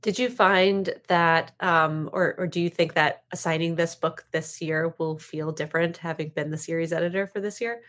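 A woman with a somewhat low voice moderates a panel. She asks informal interview questions, a little tentatively, rewording them along the way. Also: fairly even intonation, normal speed, few pauses, fairly bright tone.

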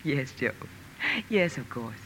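A very noisy old radio drama recording. a middle-aged mother with a low, soft voice. Her delivery is tender and wistful. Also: lively intonation, slow, full character voice. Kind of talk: 1950s radio drama acting.

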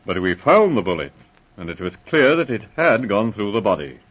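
A man playing a genial county police chief with brisk, hearty officer phrasing, in 1940s radio drama. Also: on the low side, big pitch swings, fairly bright tone, husky, exaggerated voice. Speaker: narrator and police colonel. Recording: muffled old radio recording.

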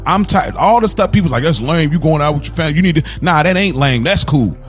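A young man co-hosting a podcast in casual chat, telling stories in an animated way and laughing often. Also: a little high, fast talker, soft background music. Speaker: podcast co-host.

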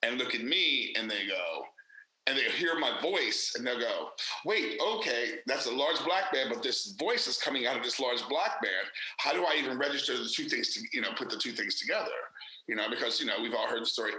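A man's voice: medium pitch, animated, brisk, pushed and bright, roomy sound, low-quality recording. A man, an actor and director, speaking candidly and emphatically in a casual interview, with many fillers and restarts.

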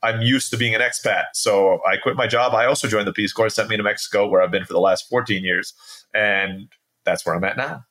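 Interview guest: American male, forties, bright forward voice, casual conversational storyteller. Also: on the low side, fairly even intonation, brisk. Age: 40s. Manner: conversational, peppered with "right?" tags.

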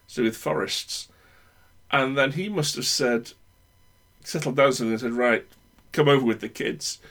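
A man's voice: mid-range voice, vocal fry, a bit bright, husky. A man guesting on a podcast, telling meandering family anecdotes in casual chat and correcting himself as he goes. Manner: meandering, self-correcting storyteller.

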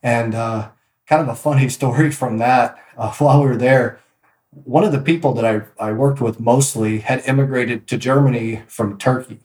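A man's voice: medium pitch, normal speed. Man telling stories in an echoey room. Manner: relaxed, rambling with many restarts and fillers. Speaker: podcast guest, real estate agent. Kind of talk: casual interview storytelling.

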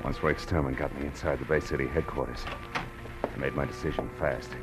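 An American actor playing a tough, wry private detective in a 1940s-style radio drama, with clipped, sardonic, slangy delivery. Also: male voice, very deep voice, steady tone, brisk, music in the background. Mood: tense, dry narration. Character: tough, wry male private detective narrating his case. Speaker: radio actor, lead and narrator. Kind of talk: hard-boiled 1940s radio drama acting. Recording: noisy vintage radio recording.

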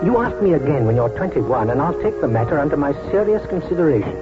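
A kindly old British country doctor in a 1940s radio drama, weary and reflective. His voice is fairly high for a man and gentle, heard through a muffled old broadcast with loud music. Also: sing-song, fast. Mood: gentle, coaxing. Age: older. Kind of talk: 1940s radio drama acting, first-person narration.

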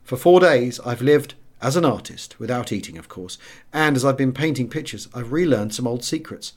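A man narrating a wartime memoir as a scripted audiobook, measured and expressive, letting phrase endings fall hushed. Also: medium pitch.

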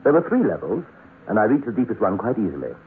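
An actor playing a curious, brisk geologist in a 1960s radio drama, with an expressive delivery. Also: male voice, on the low side, background noise. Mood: matter-of-fact. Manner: animated, expressive. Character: curious, brisk male geologist telling his eerie adventure. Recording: tinny band-limited old radio recording.

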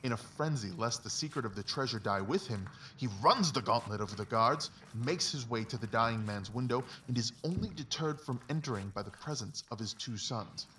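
A man's voice: mid-range voice, animated, a little fast, hardly any pauses, a bit bright, lightly acted. A confident Victorian detective acted by a man lays out his reasoning like a lecture, precise and emphatic. He sounds set back from the microphone, with faint noise behind him, in the manner of a dramatised audiobook. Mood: intent reasoning. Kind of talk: dramatised literary audiobook dialogue. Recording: distant mic, faint background noise.

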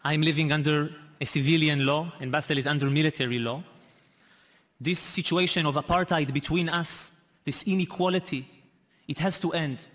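Male speaker at an award ceremony, earnest and slow, fairly high voice, long hall reverb. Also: fairly even intonation, a bit bright. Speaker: filmmaker giving a speech. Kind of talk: public speech. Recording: archive clip of a hall speech, reverberant.

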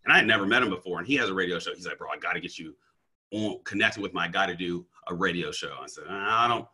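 Man, very deep voice, husky, bright forceful tone, slangy interview talk, stammers. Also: fast. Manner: energetic, emphatic, stammers and restarts; slangy, says "bro". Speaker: coach and speaker, guest.

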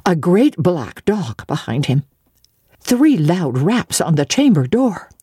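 A woman voicing an uneasy, gossipy housemaid in an audiobook, speaking in hushed fragments. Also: fairly low pitch, big pitch swings, slow pace, husky, no echo, studio quality, full character voice. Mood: hushed, fearful.

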